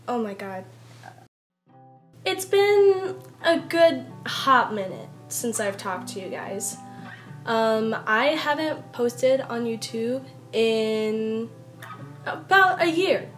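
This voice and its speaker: A young woman talking candidly in a casual personal vlog, rambling a little and poking fun at herself. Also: fairly high pitch. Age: young adult. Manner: candid, self-deprecating, rambling. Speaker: YouTube vlogger. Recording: background guitar music. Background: soft guitar music.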